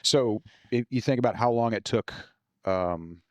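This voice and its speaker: A man's voice: medium pitch, a little slow, no room sound. An American man talking as a guest author in a casual podcast conversation, explaining things informally with loose, profane language. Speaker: guest author discussing own novels.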